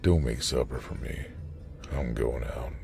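A man's voice: rumbling low, measured pace, creaky, soft background music, pitched below the performer's own voice, full character voice. A man voicing a weary, cold policeman husband who talks tersely in a storybook reading. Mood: cold, grave. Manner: terse, flat, withdrawn.

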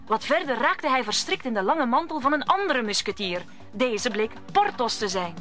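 Female narrator for audio drama, slightly high, bright storytelling read. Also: sing-song, normal speed, faint music behind.

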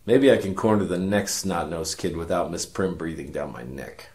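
An actor playing a cynical, world-weary detective, narrating a noir parody radio drama in a wry, self-important deadpan. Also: male voice, low voice, normal speed, close to an everyday voice. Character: cynical world-weary hard-boiled male detective narrating his case.

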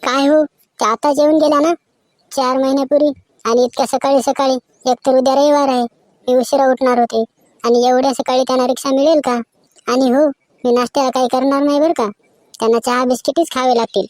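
A woman playing a sharp-tongued, bossy wife in a cartoon comedy skit. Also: sits high, steady tone, brisk, no echo, natural voice, poor audio. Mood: annoyed.

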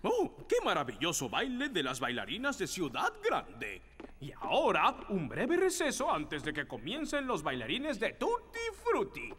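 Pompous, high-pitched cartoon caterpillar announcer. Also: male voice, huge pitch swings, unhurried, noisy background, exaggerated voice. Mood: grand, excited. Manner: pompous, grandiose. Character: excitable, showy male caterpillar master of ceremonies. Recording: reverberant hall sound.

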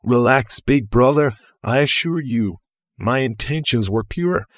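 A man voicing a chubby, cheeky younger brother in an audiobook, talking in a breezy, excitable way. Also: mid-range voice, measured pace, no echo, clean studio sound, close to an everyday voice.

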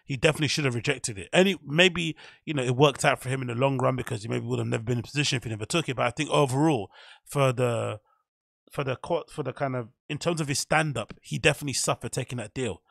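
A man's voice: mid-range voice, quick pace, vocal fry, a bit bright, no room sound, studio quality. A man hosting a podcast, giving a casual, rambling commentary full of opinions and self-corrections. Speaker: podcast host.

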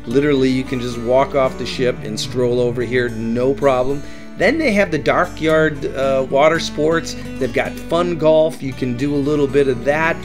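A man's voice: mid-range voice, normal speed, music in the background. A middle-aged man hosting a travel vlog, giving upbeat tips and often saying "that's right". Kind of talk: enthusiastic travel guide narration.

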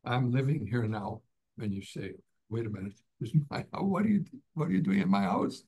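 A man's voice: lowish voice, big pitch swings, fairly quick, darkish tone, slightly rough. A middle-aged man from Canada, a guest author talking in an office over a video call, with many fillers and restarts. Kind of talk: casual interview on a video call. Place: office indoors. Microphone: webcam on a video call.

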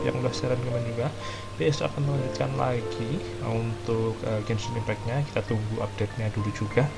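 A young Indonesian man with an Indonesian accent, rambling casually as he recaps a game quest, pausing to search for words. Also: medium pitch, low-quality recording. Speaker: gaming video host.

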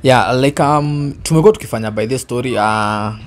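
A man's voice: medium pitch, a little fast, noisy background. A Kenyan man in his twenties hosting a casual storytelling chat, mixing Swahili and English. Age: 20s. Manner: code-switching between Swahili and English. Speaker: host. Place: indoor living room on a sofa. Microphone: shared handheld microphone.

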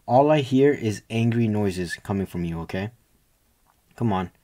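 A young man playing a tender, doting boyfriend in a soft ASMR roleplay, gentle, coaxing and reassuring, with a breathy voice often near a whisper. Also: on the low side, animated, unhurried. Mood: teasing, puzzled.

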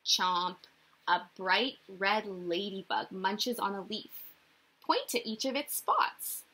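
A young woman reading a picture book aloud to small children like a teacher, expressive and sing-song, often putting questions to them. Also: mid-range voice, slow, husky. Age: young adult. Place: small indoor room.

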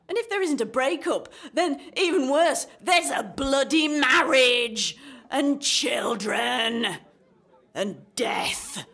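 A woman's voice: fairly high pitch, a bit bright, husky, heavily acted. An actress in a radio play playing a jaded, anxious single woman in her thirties, sarcastic, dramatic and emphatic. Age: 30s. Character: jaded, anxious 35-year-old single woman unravelling at speed dating.

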